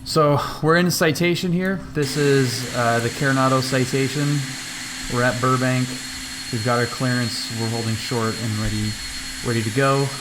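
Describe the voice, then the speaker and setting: Guy streaming a flight sim, mid voice, halting casual talk, clear plane noise behind him. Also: medium pitch, fairly even intonation. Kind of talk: casual livestream chat with radio calls.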